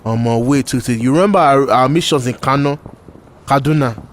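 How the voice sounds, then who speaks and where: Male comrade in arms, acted by a man, chatty, friendly, middle pitch, slight outdoor noise. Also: fairly quick, natural voice. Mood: nostalgic. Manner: casual, chatty.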